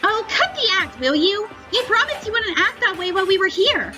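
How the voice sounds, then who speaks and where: A woman voicing an excitable young woman, teasing and affectionate, with a playful, gushing manner in a story reading. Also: extremely high pitch, brisk, faint music behind, pitched above the performer's own voice, full character voice. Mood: excited.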